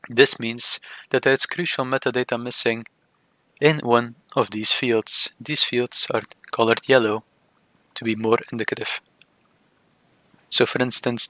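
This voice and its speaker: A man narrating a software tutorial step by step, speaking in short, measured, halting phrases. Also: mid-range voice, no room sound, rough recording. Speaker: software demonstrator. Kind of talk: scripted tutorial narration of a screen demo.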